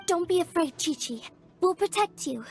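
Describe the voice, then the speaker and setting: A woman's voice: very high-pitched, lively intonation, normal speed, soft background music. A woman voicing an earnest, protective young woman illusionist in a video game. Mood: concerned. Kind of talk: scripted video game voice acting.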